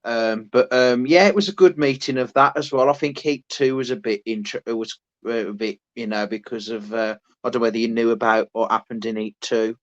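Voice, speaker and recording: A man co-hosts a casual podcast, telling long, rambling stories full of restarts. His mid-pitched voice stays flat and even, with little rise and fall. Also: dull audio. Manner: rambling, many restarts, unhurried. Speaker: podcast co-host. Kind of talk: casual chat.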